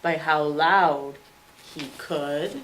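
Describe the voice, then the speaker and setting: Woman, schoolteacher type, slow-paced expressive children's story narration with dramatic pauses, some noise behind. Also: low voice, low-quality recording. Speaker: second-grade teacher reading aloud.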